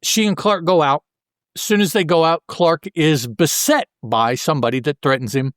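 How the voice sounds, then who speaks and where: A man with a slightly higher-pitched voice hosts a casual podcast recap. He talks through a comic book story colloquially, tossing in joking asides. The sound is close and fairly dry. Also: normal speed, a bit bright, no room sound. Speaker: podcast host.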